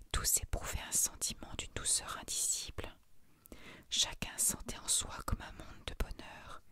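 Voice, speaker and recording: A woman reading a short story as a narrator, in a hushed, soft ASMR bedtime style.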